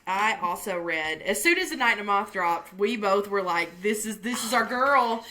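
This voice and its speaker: A woman's voice: medium pitch, animated, normal speed, pushed and bright, poor audio. A woman co-hosting a casual book podcast, talking with gushing enthusiasm and plenty of "um"s. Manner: gushing and chatty, with many fillers. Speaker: podcast co-host. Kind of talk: casual chat.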